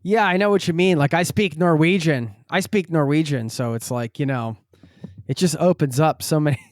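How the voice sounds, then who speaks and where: A man's voice: a little high, moderate pace, no room sound, studio quality. A man hosting a podcast, joking and asking questions in a playful way. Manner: joking, playful, laughs often. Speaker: podcast host. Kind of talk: casual interview chat.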